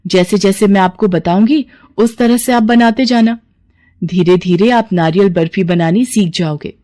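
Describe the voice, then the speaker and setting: A woman voicing a devoted, gently encouraging wife in a cartoon story. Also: mid-range voice, moderate pace, close to an everyday voice. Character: devoted, encouraging wife who makes sweets.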